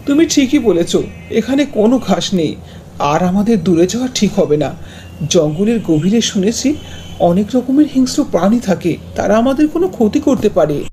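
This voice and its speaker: Woman acting a poor village father, dark mellow tone, cautious, resigned, cartoon fairy tale, faint noise. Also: medium pitch, expressive, normal speed, voice pushed down, natural voice. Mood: worried, cautious.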